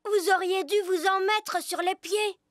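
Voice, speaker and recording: An actor playing an eager, earnest and excitable small child in a French fairy-tale cartoon. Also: very high voice, unhurried, dry recording, clean studio sound. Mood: worried. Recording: loud music behind the voice.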